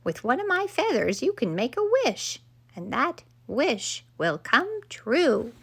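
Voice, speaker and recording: Woman voicing a pompous little quail, swooping and creaky. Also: high-pitched, very animated, slow, fairly bright tone, pitched above the performer's own voice, heavily acted. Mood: proud. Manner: pompous, showy introductions and explanations. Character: talking male quail, proud, cheeky and self-important.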